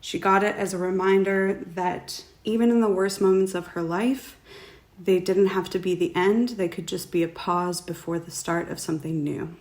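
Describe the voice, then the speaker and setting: A woman's voice: on the low side, fairly even intonation, normal speed, darkish tone. A woman speaking as an author, giving a prepared, explanatory talk to viewers. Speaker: author presenting a trivia question.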